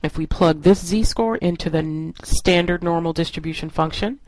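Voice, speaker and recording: A woman's voice: lowish voice, normal speed, darkish tone, dry recording, poor audio. A woman instructor narrating a lesson in an explanatory, step-by-step way. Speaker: statistics instructor.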